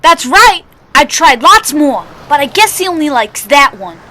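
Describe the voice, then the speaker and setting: A woman's voice: high voice, very animated, unhurried, pushed and bright, full character voice. A woman playing a proud, stubborn young street boy with slangy talk in an old-time radio drama. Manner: slangy, artless kid talk. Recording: noticeable background noise.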